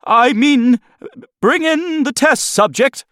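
A man's voice: very high-pitched, slow, a bit bright, dry recording, studio quality, pitched above the performer's own voice, heavily acted. A man voicing a nervous male lab technician who stammers and hesitates.

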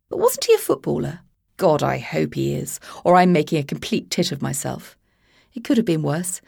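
A woman's voice: lowish voice, creaky, a little mellow, breathy edge, no echo, clean studio sound, exaggerated voice. A woman voicing a posh, long-haired young man who rambles on breezily, oblivious to being unwanted. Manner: breezy, upper-class, rambling.

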